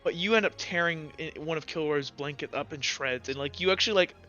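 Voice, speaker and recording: A man improvising narration as game master of a tabletop roleplaying game, in informal, halting phrasing. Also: on the high side, pushed and bright. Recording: dull lo-fi audio with music behind.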